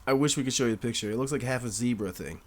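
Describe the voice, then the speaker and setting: A man's voice: mid-range voice, very fast. A young man with a nasal voice, co-hosting a casual podcast, rambling loosely with frequent laughs and casual swearing. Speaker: podcast co-host. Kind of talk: casual chat and spoken freestyle rap.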